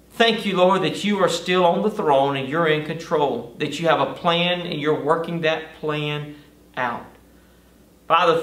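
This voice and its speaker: A roomy, echoing recording picks up a middle-aged male pastor a little way from the mic. His voice sits slightly high for a man, and he teaches and prays earnestly, leaving deliberate pauses between phrases. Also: pushed and bright, far from the mic. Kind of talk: Bible study sermon and prayer. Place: indoor studio or stage with lit backdrop.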